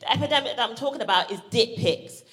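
Animated high-voiced woman doing stand-up. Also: fast talker. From Barbados. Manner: punchy, playful, shouts punchlines. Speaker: stand-up comedian. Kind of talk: live stand-up comedy set. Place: live comedy stage. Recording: live stage recording with audible background noise.

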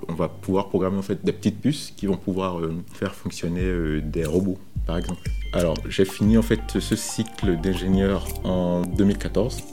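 Low-voiced, mellow man from Benin. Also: steady tone, soft background music. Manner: hesitant, halting delivery with frequent repetitions. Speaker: software developer interviewed about his career. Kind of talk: informal first-person career account.

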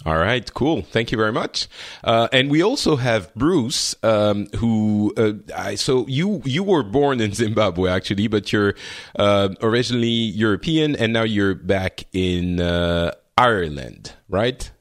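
A man hosting a chat podcast with a fairly low voice, speaking hesitantly and padding his sentences with filler sounds. The recording is dry and close, with no room echo. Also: animated, a little slow. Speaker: podcast host.

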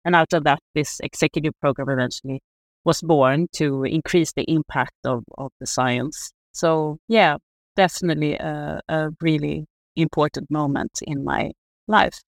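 A middle-aged woman from Sweden speaks in a soft, measured voice with plenty of hesitations. She is a researcher being interviewed. The sound is dry and close, with no room around her. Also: deep voice, fairly even intonation, vocal fry, dry recording. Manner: soft-spoken, measured, many fillers. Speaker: guest, sustainability researcher. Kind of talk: reflective interview answers.

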